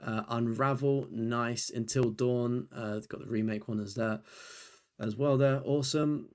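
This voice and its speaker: A man's voice: mid-range voice, fairly even intonation, unhurried, a little mellow. Tired, chatty young man. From United Kingdom. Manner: chatty, rambling, self-deprecating with frequent fillers. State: tired, voice nearly gone. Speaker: gaming YouTuber and streamer. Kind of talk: casual commentary reviewing a trophy list.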